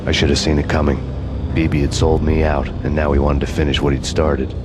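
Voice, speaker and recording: A man narrating in a deadpan, sardonic hard-boiled noir style. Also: extremely low pitch, brisk. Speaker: game protagonist narrator. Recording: loud music under voice.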